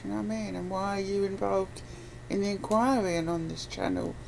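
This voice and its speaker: A woman's voice: medium pitch, steady tone, fairly quick, vocal fry, a crowd in the background, rough recording. A woman speaking informally as an interviewer in a casual, conversational chat. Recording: faint background noise.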